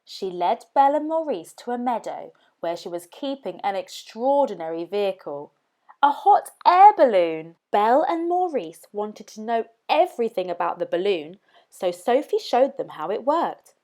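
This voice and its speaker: A woman reading a children's story aloud as narrator, with expressive, dramatic emphasis. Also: mid-range voice, moderate pace.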